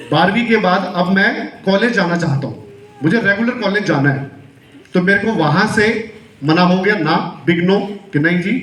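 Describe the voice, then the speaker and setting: A man's voice: high-pitched, a bit bright, distant mic, slight background noise. A man from Delhi giving a motivational seminar talk, asking the audience questions as he goes. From Delhi, India. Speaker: seminar speaker. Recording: echoey hall, mic heard from afar.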